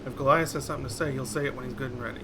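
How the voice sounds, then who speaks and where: A man's voice: medium pitch, fairly even intonation, rapid-fire, background noise, close to an everyday voice. A man voicing a blunt, protective monster hunter from a fantasy game, with clipped, colloquial, firm speech. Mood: wry, frustrated. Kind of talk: game dialogue read aloud. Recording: game music behind the voice.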